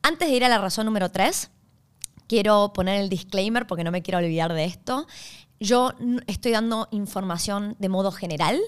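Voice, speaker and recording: A young adult woman from Argentina with an Argentine Spanish accent, hosting and explaining to camera with a clear delivery, often checking in with her listeners. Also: medium pitch, moderate pace. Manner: clear, checks in with "¿está bien?" and "¿ok?". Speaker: host.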